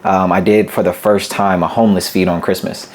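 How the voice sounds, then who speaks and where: A young man from Berkeley speaks as a podcast guest, telling his story in a relaxed, steady way. His voice is somewhat low and full of small "um" pauses. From Berkeley, California. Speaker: guest, business founder. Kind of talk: casual interview chat.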